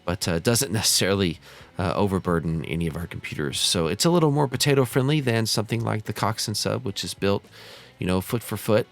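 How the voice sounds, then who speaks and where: An American man with a slightly hoarse, stuffed-up voice from a cold, giving easygoing, casual tutorial commentary as a channel host. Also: lowish voice, animated, a little fast, soft background music. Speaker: host of a model railroad simulator channel.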